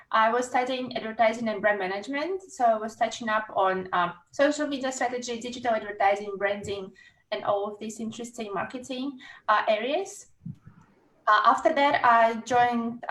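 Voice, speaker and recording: A woman's voice: fairly high pitch, moderate pace, a bit bright. A young woman from Uzbekistan, a university staff member, speaking hesitantly with many fillers on a video call. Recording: echoey room heard from far off.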